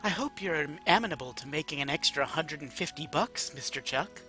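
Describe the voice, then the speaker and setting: Man putting on a silky, high-pitched genteel lady mayor. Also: expressive, brisk, bright, forceful tone, soft background music. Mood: businesslike. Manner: genteel, formal, drawling. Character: silky, flirtatious female mayor, a nonhuman creature.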